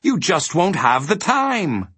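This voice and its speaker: A man voicing a villainous, gloating demon of petty tasks in a storybook, with theatrical, drawn-out delivery. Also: fairly high pitch, huge pitch swings, fairly bright tone, breathy edge, dry recording, pitched above the performer's own voice, full character voice. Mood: slyly persuasive. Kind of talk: storybook character voice.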